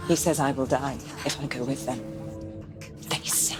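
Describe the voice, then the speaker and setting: A woman voicing a frightened, haunted woman tormented by visions in a video game, breathy and intense. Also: extremely low pitch, fairly even intonation, normal speed, over loud music. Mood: fearful, feverish.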